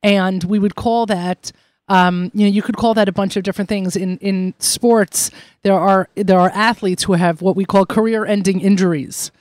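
A man co-hosting an interview who asks questions in a warm, encouraging way and laughs easily. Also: high voice, fairly even intonation, moderate pace, dry recording. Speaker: podcast co-host. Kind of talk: interviewer, conversational.

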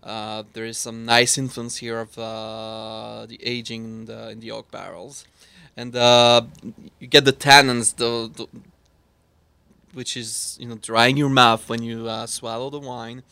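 A man with a Swiss French accent, explaining wine in casual chat, halting with many fillers and restarts. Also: mid-range voice, little pitch movement, vocal fry, bright, forceful tone, clean tone, no room sound. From French-speaking Switzerland. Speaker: wine expert.

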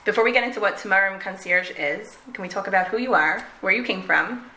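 A woman interviewer with a bright, sharp voice, reacting eagerly and asking her guest questions. Her voice rings in an echoey room with light ambient noise behind it. She keeps the conversation lively and welcoming. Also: mid-range voice. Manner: enthusiastic, quick reactions of wow and amazing. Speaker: interviewer, show host. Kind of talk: radio interview.

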